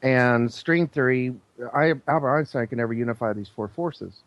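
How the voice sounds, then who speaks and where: A man with a mid-pitched voice explains things casually but insistently, as a guest on a show. He rambles earnestly, and the sound is dry, dull and lo-fi. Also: normal speed, no room sound.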